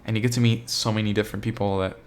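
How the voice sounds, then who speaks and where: A young man talks through a solo podcast episode in a relaxed, meandering way. His voice sits a little low. He trips over his own sentences and leans on "like" as he thinks aloud, without any accent. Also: rapid-fire. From Argentina. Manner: rambling, full of "like" and restarts, laid-back. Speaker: podcast host. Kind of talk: casual solo podcast monologue.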